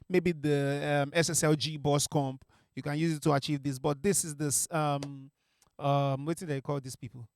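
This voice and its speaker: Young man explaining a mix, smooth and close. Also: a little high, moderate pace, dry recording. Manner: relaxed, explains with many fillers and restarts. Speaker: music producer teaching mixing. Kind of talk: casual tutorial talk. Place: small home music studio. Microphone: studio mic on a stand.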